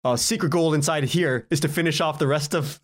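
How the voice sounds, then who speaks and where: A man speaking as a podcast guest in casual interview chat, informal and halting as he thinks aloud. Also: a little high.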